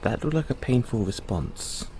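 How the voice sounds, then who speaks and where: A British man playing a kindly, chatty owner of a rescued android in an audio roleplay, speaking gently and hesitantly to the listener with small stammers. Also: medium pitch, sing-song, normal speed, vocal fry, slight background noise. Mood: gentle, apologetic.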